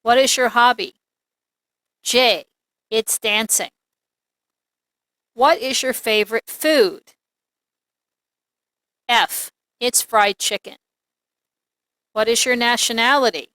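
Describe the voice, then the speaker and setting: A woman gives an English lesson in a bright, fairly high voice. She reads model sentences aloud deliberately, articulating each word for learners. The recording is close and dry. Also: no room sound. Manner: deliberate, clearly enunciated, repetitive drilling. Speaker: English teacher. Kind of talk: slow instructional English lesson, reading examples aloud.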